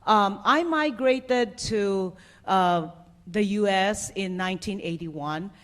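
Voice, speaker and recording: A middle-aged woman from the Philippines, a physical therapist, telling her personal story to a conference audience in a conversational way, with frequent "uh" and "you know". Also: mid-range voice.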